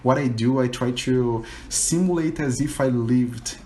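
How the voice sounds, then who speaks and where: A Brazilian man speaks English with a Portuguese accent over a video call. His talk is loose and chatty, full of "you know" and quick laughs. A faint hum of the room sits under his voice. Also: mid-range voice, warm tone. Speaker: English teacher and learner. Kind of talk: casual conversation practice call.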